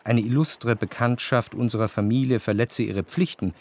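An actor playing a reflective, cultured man who recalls his past in a literary radio drama, with measured, precise diction. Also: medium pitch, steady tone, a little mellow, close to an everyday voice. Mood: reminiscing. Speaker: narrator.

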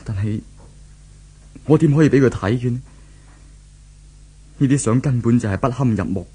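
A male actor plays an anguished young man in an old Hong Kong radio serial. His voice is husky and medium in pitch, with a Hong Kong Cantonese accent. He speaks with the brooding, theatrical weight of a lovesick boyfriend. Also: moderate pace, dark tone, lightly acted. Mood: anguished disbelief. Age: young adult. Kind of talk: 1970s radio drama acting.

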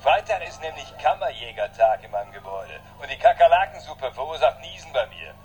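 An actor playing a brash, slangy, jokey buddy leaving answering machine messages in a radio play. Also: male voice, a little high, sing-song, fairly quick, faint music behind, rough recording. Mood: casual, pleading. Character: brash, slangy New York buddy, cool guy.

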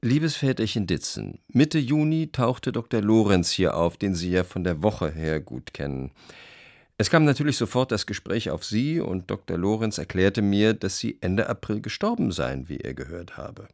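Casting: a low, gravelly, expressive letter writer, dry close sound. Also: male voice, moderate pace, no echo. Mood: hopeful. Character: devoted letter writer hoping for a reunion. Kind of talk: broadcast documentary reading.

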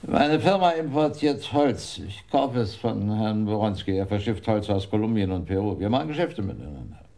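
Gravelly, brusque fat businessman. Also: on the low side, lively intonation, moderate pace, mellow. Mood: brusque, suspicious. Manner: gruff, defensive. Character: fat, brusque, indignant German timber importer. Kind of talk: radio play acting.

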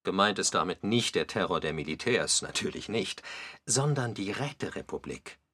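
A man's voice: sits low, animated, moderate pace, bright, forceful tone, husky, exaggerated voice. A man delivering scripted dramatic narration for a radio documentary, with emphatic, pointed phrasing.

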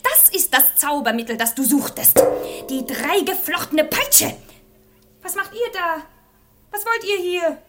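A cruel young princess in a radio play. Her voice is very high and swings widely in pitch. She declaims with haughty, tempestuous melodrama, every line imperious and overwrought. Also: animated, measured pace, a bit bright, faint music behind, exaggerated voice. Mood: furious, commanding. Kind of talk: theatrical radio play acting.

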